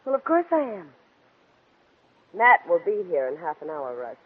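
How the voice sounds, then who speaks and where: A woman's voice: lowish voice, big pitch swings, normal speed, husky. An actress playing a breezy, self-assured, flirtatious woman in a 1940s radio drama. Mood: cool, confident. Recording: tinny vintage 1940s radio recording.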